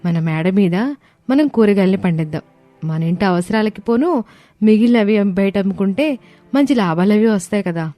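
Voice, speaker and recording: A woman's voice: medium pitch, animated, normal speed, mellow, dry recording, soft background music, close to an everyday voice. A woman voicing an earnest, eager young daughter-in-law in a dramatized story. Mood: scheming, eager.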